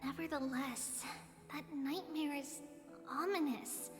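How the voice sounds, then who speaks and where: A gentle childlike teacher from a fantasy game. The voice is high and sweet, with a caring manner. Long reverb surrounds it, and music plays strongly behind. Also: female voice, takes it slowly. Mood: solemn. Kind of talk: video game voice acting.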